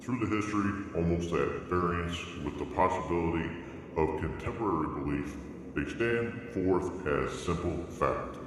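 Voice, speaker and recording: A heavily echoing, slightly distant recording of a grave gentleman witness in a radio drama, played by a man. His voice is low, and he speaks formally and deliberately, with solemn weight. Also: close to an everyday voice. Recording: very echoey, distant, noisy.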